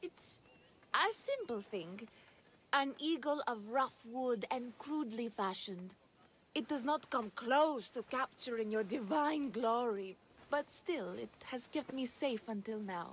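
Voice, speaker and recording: A timid, devout young shepherdess. Her voice is high and her delivery very unhurried, halting with awestruck, apologetic reverence. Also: expressive, slight background noise, poor audio. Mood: reverent. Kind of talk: video game cutscene acting.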